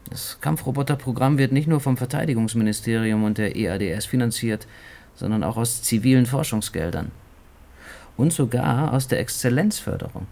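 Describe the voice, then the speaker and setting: A man playing a sceptical, world-weary journalist, speaking with a creaky voice and wry, lecturing manner in a radio drama. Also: mid-range voice, fairly quick, warm tone, natural voice. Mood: cynical. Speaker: supporting actor.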